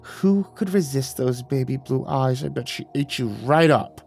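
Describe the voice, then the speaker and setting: A man's voice: mid-range voice, moderate pace, gravelly, darkish tone, lightly acted. Casting: male narrator as a boozy, needy wife, crooning and volatile, storytelling over music. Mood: flirty, crooning. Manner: crooning, wheedling.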